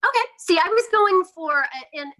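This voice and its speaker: A woman's voice: very high-pitched, lively intonation, moderate pace, pushed and bright. A woman speaking casually and enthusiastically as the guest in an interview about her indie projects. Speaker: interview guest, indie horror filmmaker and podcaster. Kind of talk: casual promotional interview chat.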